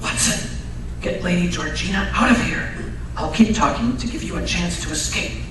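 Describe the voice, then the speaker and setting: A man's voice: high-pitched, normal speed, a bit bright. A man playing a confident, brilliant detective in a radio mystery, precise, unruffled and dryly commanding. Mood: cool, defiant. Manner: precise, authoritative, unruffled explaining. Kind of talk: old-time radio mystery acting. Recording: very echoey hall, distant mic, faint noise.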